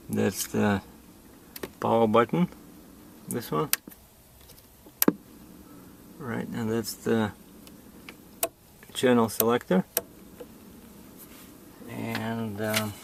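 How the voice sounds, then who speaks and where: A man with a fairly low, creaky voice talks through a hands-on demonstration. His delivery is informal and halting, working things out aloud as he goes. The amateur recording has clear background noise. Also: steady tone. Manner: halting, improvised, thinking aloud. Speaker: demonstrator giving setup instructions.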